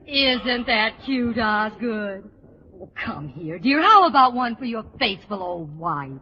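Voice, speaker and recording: A woman's voice: on the high side, very animated, takes it slowly. A woman playing a sweet, coaxing middle-aged wife with an American accent in an old-time radio sitcom. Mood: coaxing, sentimental. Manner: coaxing, fluttery. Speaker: actress. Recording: muffled vintage radio recording, faint noise.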